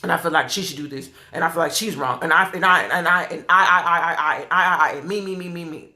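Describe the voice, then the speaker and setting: A man putting on a whiny, self-centered voice to mock someone, speaking quickly and brightly. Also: on the high side. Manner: mocking, whiny.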